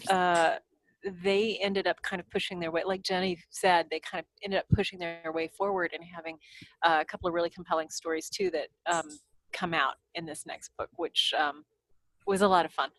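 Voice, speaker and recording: A woman, an author on a podcast panel, chatting casually and haltingly as she searches for words. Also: lowish voice, expressive, fairly bright tone, no room sound, poor audio. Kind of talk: casual chat.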